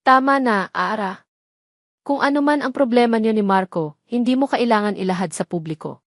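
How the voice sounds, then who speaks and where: A woman's voice: on the high side, moderate pace, dry recording, studio quality, natural voice. A woman voicing an indignant older aunt in an audio drama, stern and scolding.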